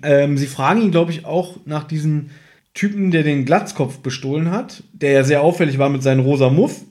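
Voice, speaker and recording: Higher-voiced man in casual podcast chat. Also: normal speed, darkish tone. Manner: conversational, recaps from notes. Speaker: podcast co-host. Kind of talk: casual chat reviewing an audio drama.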